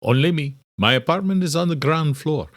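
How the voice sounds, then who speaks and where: A guarded woman played by a male narrator in an audio thriller. The voice is lifted high, formal and clipped, with a dry, close sound. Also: animated, normal speed, no room sound, lightly acted. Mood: polite, reserved. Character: guarded Polish woman, a doctor's formal assistant.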